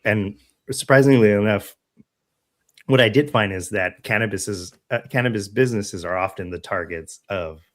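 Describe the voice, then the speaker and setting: An American man co-hosting a podcast, discussing local news casually in a measured, halting way. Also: on the low side. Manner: halting, measured, frequent throat clearing. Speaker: podcast co-host.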